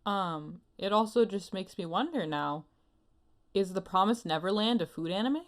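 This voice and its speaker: A woman's voice: mid-range voice, moderate pace. A woman co-hosting a podcast, chatting casually in an enthusiastic, giggly manner. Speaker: podcast co-host. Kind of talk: casual chat.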